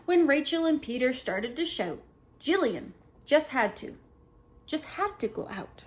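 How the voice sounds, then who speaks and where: A woman's voice: high voice, sing-song, measured pace. A woman reading a picture book aloud at story time, expressively, with little asides to a small child.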